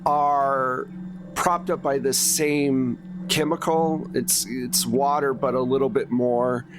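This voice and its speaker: A man narrates a tabletop horror game as its game master. He takes his time, pausing between short phrases as he improvises. Music plays clearly behind his voice. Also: mid-range voice, steady tone. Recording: music playing under the voice.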